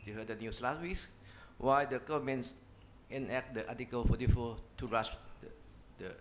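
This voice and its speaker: A man's voice: medium pitch, normal speed, noisy background. A man on a discussion panel who answers with wry, chuckling asides. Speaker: panel speaker.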